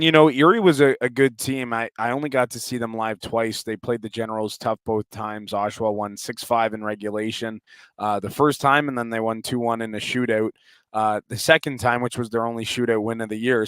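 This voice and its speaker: A male sports announcer with a clear, resonant voice at a middle pitch speaks as a guest. He gives detailed, conversational analysis, and the recording is dry with little room sound. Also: fairly bright tone, no room sound. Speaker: hockey play-by-play announcer, show guest.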